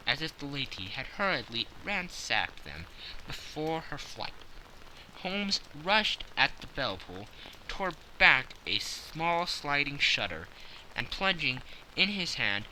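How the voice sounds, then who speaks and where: A man narrating a classic detective story as an audiobook in a measured, steady way. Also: medium pitch, a little hiss or hum, low-quality recording.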